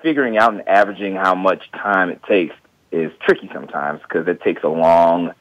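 A man from the US Midwest answering interview questions about running his small business, relaxed and thinking aloud. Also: low-pitched, no echo, highs cut off. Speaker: guest beekeeper and small business owner.

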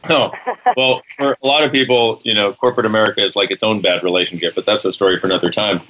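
A man co-hosts a radio talk show with a bright, forceful voice that sits a little low. He jokes and laughs easily, then settles into long explanations for a caller. The audio is dull and lo-fi. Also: steady tone, quick pace. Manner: jokey, laughs often, then explains at length. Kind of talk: casual radio chat.

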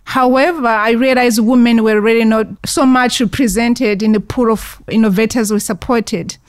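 A woman from Rwanda, middle-aged, answers interview questions in an earnest, reflective way, speeding up in bursts and pausing on fillers. Her voice sits a little high. The sound is close and dry. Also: steady tone, no echo. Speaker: guest, founder of an investment fund.

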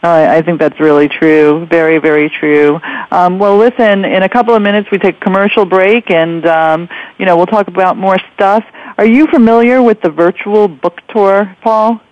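A man's voice: high-pitched, moderate pace, dry recording. A man hosting a radio interview in a conversational style. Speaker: radio show host. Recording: dull, lo-fi radio audio.

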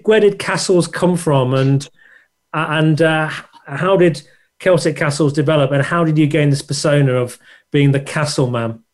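A man's voice: a little high, darkish tone. A man hosting an interview, asking long questions with many restarts. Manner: rambling, hesitant questions with restarts. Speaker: podcast host.

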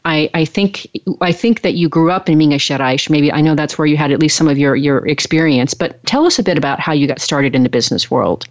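A woman's voice: low voice, fairly quick, hardly any pauses, dry recording. A woman hosting a conversational interview in an encouraging, appreciative manner. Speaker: host, interviewer. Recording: dry, slightly dull lo-fi sound.

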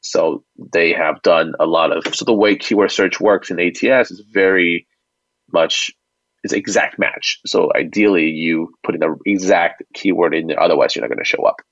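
A man's voice: low voice, normal speed, a bit bright, dry recording. A man speaking as an expert guest in an interview, explaining things conversationally step by step and checking in as he goes. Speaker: expert guest, company founder. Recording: muffled, dry lo-fi audio.

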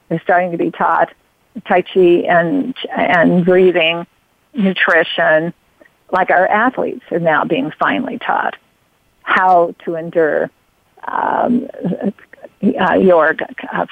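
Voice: medium pitch, steady tone, slow, gravelly, dry recording. A radio show host interviewing a guest, talking in long rambling runs full of fillers and restarts and drifting into personal stories. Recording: phone-like narrow sound.

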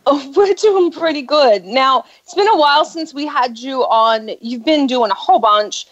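A woman's voice: sits high, expressive, normal speed, muffled recording. A woman with an Australian accent hosting a radio talk show, chatty and upbeat, laughing easily. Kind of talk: casual radio chat.

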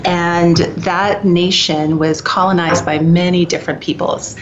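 Low-pitched woman, dull sound, faint rumble. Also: steady tone, measured pace. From Trinidad and Tobago, moved to Canada as a child. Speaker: interview guest, minister. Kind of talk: conversational interview answers.

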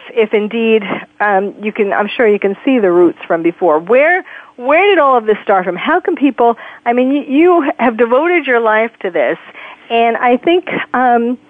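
A woman's voice: fairly high pitch, dry recording. A woman hosting an interview, asking rambling, hesitant questions full of fillers. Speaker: radio interview host. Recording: dry, phone-like narrow sound.

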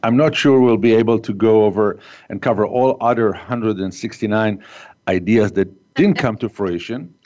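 A man hosting a business interview podcast, speaking as an in a halting way, with many fillers. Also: lowish voice, darkish tone. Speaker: podcast host. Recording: muffled lo-fi audio.

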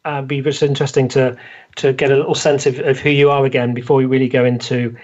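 A man's voice: medium pitch, little pitch movement, fast, a little mellow. Hesitant male podcast host. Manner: hesitant, many ums and restarts. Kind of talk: interview conversation. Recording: dull lo-fi audio.

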